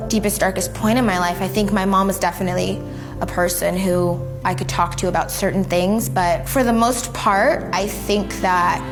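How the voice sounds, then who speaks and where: An eighteen-year-old woman speaking softly and hesitantly, searching for her words in a candid interview. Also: medium pitch, steady tone, normal speed, over music. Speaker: interviewee, suicide attempt survivor.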